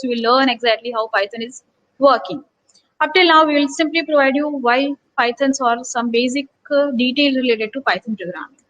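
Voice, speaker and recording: A woman's voice: high-pitched, fairly bright tone, low-quality recording. A woman from Gujarat, an associate professor giving an online workshop lecture and asking her listeners rhetorical questions. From Gujarat, India. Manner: earnest teacherly tone with rhetorical questions.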